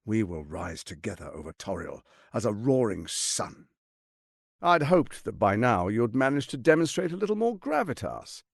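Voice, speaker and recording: Scheming villain lord from a game, pompous n silky, pitch swings all over, gravelly edge, dry close recording. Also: male voice, no room sound, full character voice. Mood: commanding, grandiose. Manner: pompous, silky, theatrical delivery with deliberate emphasis. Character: smooth-tongued, scheming tyrant lord, grandiose and condescending. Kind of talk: theatrical video game voice acting.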